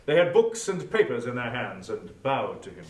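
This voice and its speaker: A man's voice: mid-range voice, big pitch swings, moderate pace. A man narrating a story in a scripted, dramatic style, recorded at some distance in a roomy space.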